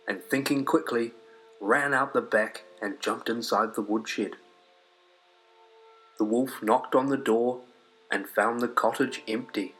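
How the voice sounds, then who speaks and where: A man's voice: medium pitch, fairly bright tone, poor audio. A man reading fairy tales aloud as a scripted storyteller. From New Zealand. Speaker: storyteller, narrator. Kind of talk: scripted fairy-tale read-aloud narration. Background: music.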